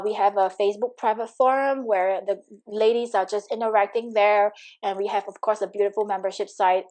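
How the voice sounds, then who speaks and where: A woman's voice: medium pitch, a little mellow. A woman with a Singaporean accent coaching a live online class, chatty and encouraging, reading viewer comments aloud with frequent fillers. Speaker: money coach.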